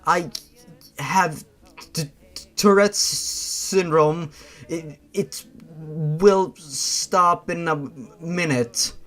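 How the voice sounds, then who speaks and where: A man voicing a nervous, twitchy young female creature with a shy, halting stammer. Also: on the high side, a bit bright. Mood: nervous, polite.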